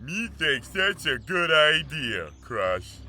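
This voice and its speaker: A man's voice: on the high side, very animated, slow, sharp tone, exaggerated voice. A man playing a huge, dim-witted orc henchman in an audio drama, talking in broken grammar, with music underneath. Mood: dim menace.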